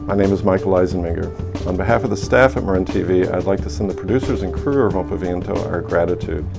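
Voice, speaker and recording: A man's voice: low voice, fairly quick, gravelly, over music. A man with an American accent delivering a prepared video message as a TV station representative.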